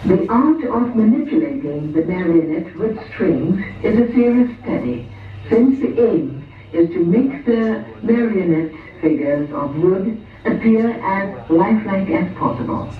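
A man giving a formal, scripted stage introduction as a puppet show announcer, speaking in a measured, presentational way. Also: high-pitched, lively intonation. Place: theatre, recorded from the audience over speakers. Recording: very echoey, tinny, distant hall PA with noise.

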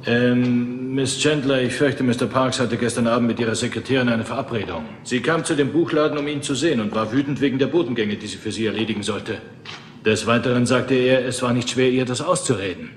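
A man playing a brisk police officer reporting. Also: mid-range voice, reverberant, a little hiss or hum, natural voice. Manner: brisk, matter-of-fact reporting. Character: brisk, dutiful young male police officer. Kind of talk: German radio crime play.

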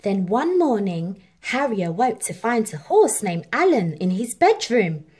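A woman reading a children's story aloud as an expressive, measured narrator. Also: mid-range voice, slightly rough. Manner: animated, measured. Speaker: storybook reader.

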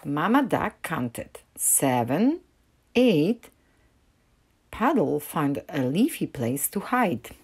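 A woman reading a children's picture book aloud as a narrator, in an expressive storytelling style. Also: sits low, very slow, breathy edge.

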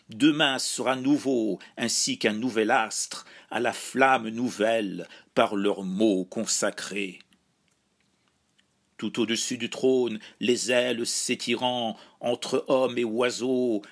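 Homme récitant une épopée, débit lent. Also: male voice, medium pitch, a bit bright. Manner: measured, declamatory delivery in short phrases. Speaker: poetry reciter. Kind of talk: solemn recitation of epic verse.

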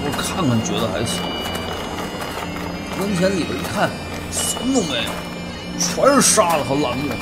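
A man's voice: fairly high pitch, sing-song, normal speed, pauses often. A man playing a brash, money-minded fat tomb raider in an audio drama, blunt and mocking in his delivery. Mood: scornful. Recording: loud music under the dialogue.

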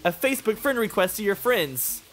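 Male gaming video host, fairly high register, very animated intonation, loose rambling delivery, casual swearing. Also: moderate pace. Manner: rambling, peppered with "like". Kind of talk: casual chat over gameplay. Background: video game play.

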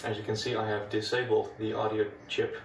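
Dutch guy, lowish voice, chatty demo talk, roomy sound from a bit off mic. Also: brisk, gravelly, slight background noise. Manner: relaxed, chatty, explains step by step. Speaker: retro computing video host. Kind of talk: casual hardware demo narration. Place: room with old computers. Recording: echoey room, mic at a distance.

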